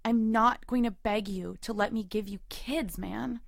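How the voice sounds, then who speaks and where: A woman's voice: a little high, steady tone, a little slow, gravelly, dry recording, studio quality. A woman playing a hurt, resolute girlfriend in an audio drama, with an intimate, controlled and emotionally weighted delivery. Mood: resigned, sad. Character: hurt, resolute girlfriend leaving her long-term boyfriend. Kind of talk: audio drama acting with first-person narration.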